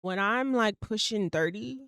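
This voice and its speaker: Young woman in her twenties rambling on her own podcast, lots of likes and ums, smooth clear voice, dry close sound. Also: mid-range voice, measured pace, a bit bright, dry recording. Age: young adult. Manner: rambling, lots of fillers, swears casually, laughs mid-sentence. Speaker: podcast host. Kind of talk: casual solo podcast monologue.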